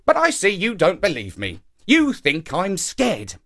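Man voicing an excitable, crafty monkey, very high-pitched. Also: measured pace, creaky, heavily acted. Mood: crafty, indignant. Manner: exclamatory, theatrical. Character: clever, excitable male monkey, indignant and crafty. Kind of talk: animal folktale storytelling.

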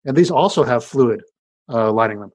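A man with a deep voice, a scientist explaining his research in an interview in a casual way, with many fillers and restarts. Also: expressive, normal speed, husky, dry recording.

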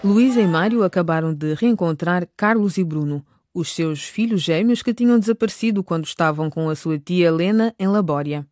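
A woman's voice: lowish voice, moderate pace, hardly any pauses, a little mellow, no room sound. A woman reading scripted narration for a radio drama.

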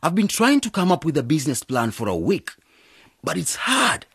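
A man acting in a radio drama as an enthusiastic, persuasive young agronomist with a husky voice. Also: fairly high pitch, wildly swooping pitch, normal speed, a bit bright, dry recording, clean studio sound, heavily acted. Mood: determined. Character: enthusiastic young male agronomist with big plans.

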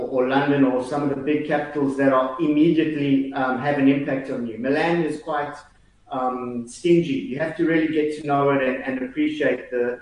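A man's voice: on the high side, a little mellow, far from the mic. South African man in a very echoey room. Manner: hesitant, many fillers and restarts. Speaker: guest. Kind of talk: casual chat.

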